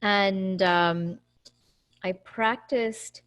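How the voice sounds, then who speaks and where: A woman's voice: mid-range voice, steady tone, extremely slow, fairly bright tone. A woman from India in her thirties, a yoga teacher talking casually over a video call, laughing easily between fillers.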